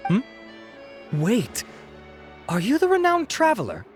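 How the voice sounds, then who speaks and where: An actor playing a courteous, vain gentleman researcher in a video game, speaking with polite, formal self-importance. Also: very high voice, wildly swooping pitch, unhurried, full character voice. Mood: polite surprise. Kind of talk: polished video game voice acting. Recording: music behind the voice.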